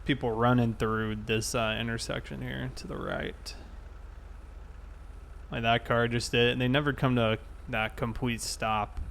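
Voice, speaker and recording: Male streamer, mid-pitched, easygoing casual play-by-play to viewers over vehicle noise. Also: flat delivery, measured pace, fairly bright tone. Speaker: game streamer, host. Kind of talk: casual live stream commentary while playing. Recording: clear background game vehicle noise. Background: game vehicle and ambience sounds.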